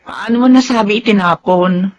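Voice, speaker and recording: A woman's voice: mid-range voice, normal speed, highs cut off, natural voice. A woman acting an anxious, unsure wife and new adoptive mother in a Filipino radio drama. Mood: worried, hesitant.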